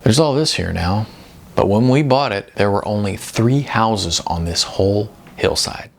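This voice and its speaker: A man's voice: on the low side, measured pace, creaky. A man voicing a laid-back, world-weary older man in an audiobook, laconic and easygoing with some hesitation. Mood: matter-of-fact. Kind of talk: audiobook character dialogue.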